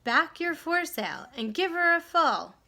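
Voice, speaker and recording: An excitable boat owner from a storybook, played by a woman reader, yelling orders across the water. The delivery is high, forceful and bossy, every command urgent. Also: a little slow, exaggerated voice.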